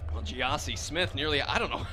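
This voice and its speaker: A man's voice: mid-range voice, normal speed. An American man doing live play-by-play commentary of a football game as a radio announcer. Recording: loud outdoor stadium noise behind voice.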